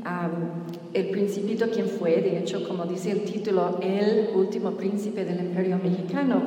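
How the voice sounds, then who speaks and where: A middle-aged woman, a native English speaker with an English-speaking accent, gives a public book talk as a novelist, in a halting, careful second-language delivery. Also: medium pitch, warm tone. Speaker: novelist presenting her book. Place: large reverberant room before an audience. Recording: echoey hall, distant mic, faint noise.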